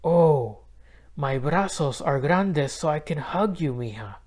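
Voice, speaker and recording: Man playing a sly hungry storybook coyote, unhurried. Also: fairly high pitch, animated, darkish tone. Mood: menacing, sly. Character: sly hungry male coyote villain, snapping and snarling. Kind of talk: children's storybook read-aloud.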